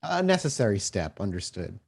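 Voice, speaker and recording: Guy with a lowish voice running a tabletop rpg, describing scenes like a movie. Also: big pitch swings, a little fast, creaky, husky. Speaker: game master and storyteller. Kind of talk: descriptive narration of a tabletop roleplaying game, prompting players.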